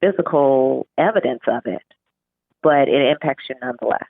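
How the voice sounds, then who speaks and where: A woman speaks as an author being interviewed, in a conversational style. Her voice is gravelly with vocal fry, and it comes through a muffled, phone-like connection. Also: rumbling low, animated, normal speed, no echo. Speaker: author, podcast guest. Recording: muffled, phone-like narrow-band call.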